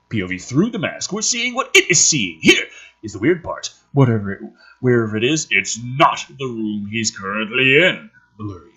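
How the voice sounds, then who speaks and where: A man with a slightly rough voice reading a script aloud dramatically, dropping in sardonic, profane asides. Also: mid-range voice, sharp tone, poor audio. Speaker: host reading a film script aloud with commentary.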